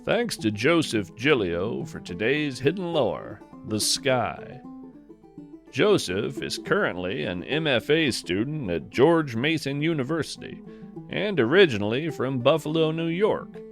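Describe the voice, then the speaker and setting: An American man narrates a whimsical scripted podcast in a wry, earnest way. His delivery is slow and melodic, with lively swings of pitch. Soft guitar plays faintly behind him.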